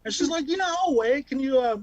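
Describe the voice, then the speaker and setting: A man with a high-pitched voice tells self-deprecating stand-up bits over a video call. He rambles, restarts, and fills gaps with ums. The sound is dry and close, with no room around it. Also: lively intonation, quick pace, dry recording, muffled recording. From Bakersfield, California. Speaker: stand-up comic.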